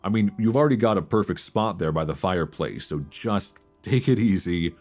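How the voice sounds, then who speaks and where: Casting: man to play affectionate male old friend for ASMR romance roleplay; low voice, very animated intonation, teasing banter, music behind. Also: normal speed. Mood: relaxed, friendly. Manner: teasing, stammering, chuckling banter. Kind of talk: intimate romantic ASMR audio roleplay, casual and conversational.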